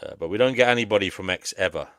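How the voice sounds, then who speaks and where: A man's voice: on the low side, very fast, fairly bright tone, no room sound. A man hosting a live panel stream, chatting casually and informally with guests.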